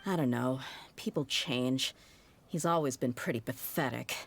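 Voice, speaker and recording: Brief: a candid, deep-voiced female sellsword who speaks plainly. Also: a little slow, creaky, noisy background, full character voice. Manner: frank, wry, matter-of-fact. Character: tough young female mercenary, blunt and candid. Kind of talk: video game cutscene dialogue.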